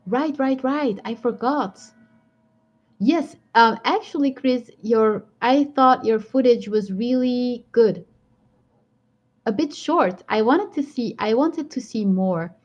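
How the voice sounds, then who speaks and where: A woman's voice: fairly high pitch, takes it slowly. Chatty Belgian woman on a dull stream. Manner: chatty and rambling, laughs often. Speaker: YouTuber live-streaming to viewers. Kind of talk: casual live-stream chat answering viewer comments.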